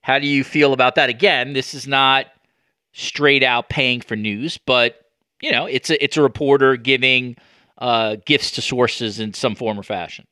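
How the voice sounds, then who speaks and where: A man hosting a podcast interview, talking conversationally and probing as he works through halting, mid-sentence restarts. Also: mid-range voice, fairly even intonation, pushed and bright, no echo, clean studio sound. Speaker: podcast host, sports journalist.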